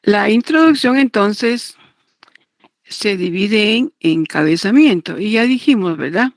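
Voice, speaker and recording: Slow, creaky-voiced woman lecturing. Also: medium pitch, animated, no room sound. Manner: deliberate, repetitive, checks understanding with tag questions. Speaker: law lecturer teaching notarial law. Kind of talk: didactic lecture, partly read aloud.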